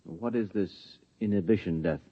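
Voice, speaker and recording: A man's voice: low voice, moderate pace, slightly rough. A man playing a curious, wry male government official in a 1950s radio drama, asking probing questions. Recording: thin tinny 1950s radio broadcast.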